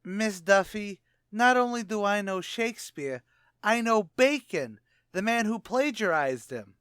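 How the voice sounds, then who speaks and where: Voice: medium pitch, expressive, measured pace, fairly bright tone, clear, resonant tone, dry recording, clean studio sound. An actor playing a pompous, wisecracking tavern manager with a brash, mock-erudite manner in a radio comedy. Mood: pompous, argumentative. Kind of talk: old-time radio comedy acting.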